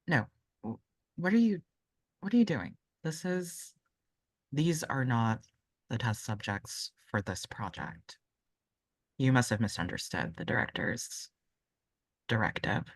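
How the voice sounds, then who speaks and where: A woman's voice: extremely low pitch, lively intonation, a little slow, long pauses, slightly rough, dry recording, studio quality, heavily acted. A woman playing a stern, dismissive female doctor in a tabletop game, firm and commanding with brief hesitations. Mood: indignant.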